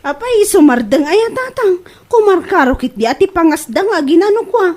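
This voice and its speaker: Lady doing a whiny offended filipino daughter, super high voice, swoops around, comedy drama. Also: big pitch swings, normal speed, a little mellow. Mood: exasperated. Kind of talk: Ilocano comedy radio drama acting.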